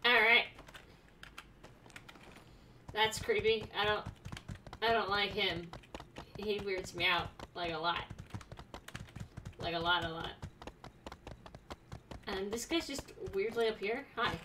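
A woman's voice: medium pitch, recorded from a distance, noisy background. A young woman streaming a video game, making blunt, sarcastic remarks as she plays. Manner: sarcastic, blunt, quick reactions. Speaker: gaming streamer. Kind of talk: casual let's-play commentary. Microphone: desk mic, webcam.

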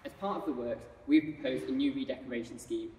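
A male architect explaining renovation works in a steady way, with frequent um fillers, filmed in a large hall under renovation. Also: mid-range voice, fast talker. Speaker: architect giving a site tour. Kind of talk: scripted walkthrough presentation. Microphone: camera mic from a few metres away. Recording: roomy echo, distant mic, faint background noise.

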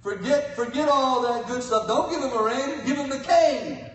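An older man preaching a sermon from a church pulpit, swinging between loud cries and quiet asides. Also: very high-pitched, normal speed. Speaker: guest preacher. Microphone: pulpit mic, filmed from the pews. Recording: echoey church from far off.

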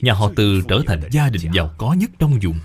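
A man's voice: on the low side, very animated, moderate pace. A man narrating a moral cartoon tale in a scripted storybook style.

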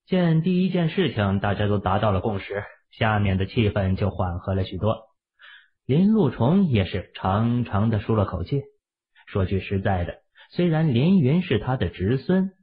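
Expressive male narrator, husky and phone-like. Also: fairly low pitch. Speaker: audiobook narrator. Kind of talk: expressive scripted novel narration. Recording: muffled, phone-like narrow sound.

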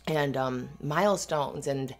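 Low-voiced, affectionate girlfriend played by a woman. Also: slow pace, faint music behind. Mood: wistful, fond. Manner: rambling, hesitant, giggly asides. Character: affectionate, earnest, slightly anxious live-in girlfriend. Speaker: audio roleplay performer. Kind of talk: intimate girlfriend ASMR roleplay, conversational.

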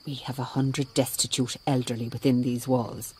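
A woman playing a shrewd, devout Mother Superior in a radio drama, speaking in a measured, dryly ironic way. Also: rumbling low, level tone, darkish tone, breathy edge, full character voice. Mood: firm, shrewd. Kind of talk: radio drama acting and narration.

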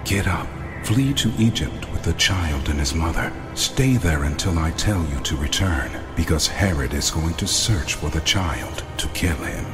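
A man's voice: extremely low pitch, lively intonation, a little slow. A man voicing a grave, commanding angel in a dramatized audio Bible. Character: solemn, commanding angel of the Lord. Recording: long reverb, prominent music behind.

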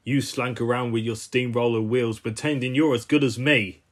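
A man's voice: mid-range voice, level tone, moderate pace, natural voice. A man playing a belligerent, boastful steamroller in a children's cartoon, blustering and combative. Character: belligerent, boastful male steamroller, bullying and obsessive. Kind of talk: amateur cartoon character voice reel.

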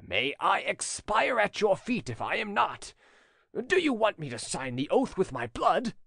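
A narrator voicing an ardent, jealous French gentleman suitor, pleading and impassioned, in audiobook dialogue. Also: medium pitch, sing-song, a little slow, gravelly, husky, dry recording, clean studio sound, pitched above the performer's own voice, exaggerated voice.

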